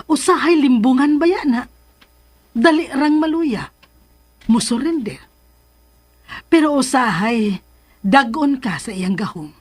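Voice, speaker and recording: A woman's voice: a little high, breathy edge. A woman playing a teasing, caring mother of a grown son in a radio drama, with a Cebuano Filipino accent. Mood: earnest, motherly. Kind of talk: Philippine radio drama acting.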